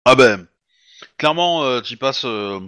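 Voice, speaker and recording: A man's voice: mid-range voice, lively intonation, measured pace, fairly bright tone, clean tone. A man running a tabletop roleplaying game as game master. He narrates casually, with lots of fillers and some slang.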